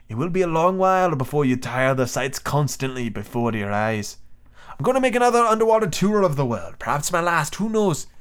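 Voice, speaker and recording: A man reading aloud, voicing an aloof middle-aged male ship commander who speaks formally and coldly. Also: fairly high pitch. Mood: grand.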